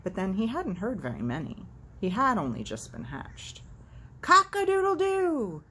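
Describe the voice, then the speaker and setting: Animated, low-pitched woman reading a storybook. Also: normal speed, slightly rough. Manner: wry, irreverent asides. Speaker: host reading a children's book aloud. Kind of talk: casual vlog chat and animated storybook read-aloud.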